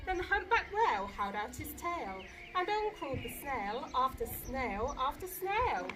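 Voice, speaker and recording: A woman with a high voice reads a rhyming picture book to children. Her delivery is dramatic and very lively, swinging up and down in pitch. There is clear noise behind her. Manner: animated, dramatic storytelling. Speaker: storybook reader, school staff member.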